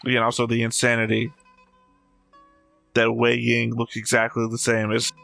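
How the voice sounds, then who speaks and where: A man's voice: mid-range voice, monotone, moderate pace, vocal fry, fairly bright tone, no echo, faint music behind. A man hosting a reaction show, explaining at length in a casual, musing way.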